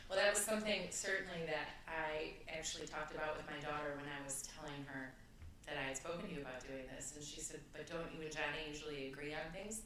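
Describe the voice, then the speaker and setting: A woman's voice: low voice, fairly even intonation, quick pace, fairly bright tone. A middle-aged woman co-hosts a casual podcast conversation, prompting with questions, recorded with heavy echo and at a distance from the mic. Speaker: podcast co-host. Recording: echoey, distant from mic, faint noise.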